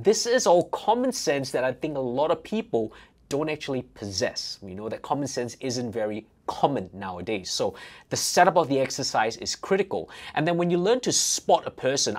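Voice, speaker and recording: A middle-aged man hosting a casual reaction video, explaining and giving coaching advice in an indoor office. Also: mid-range voice. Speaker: host, fitness coach.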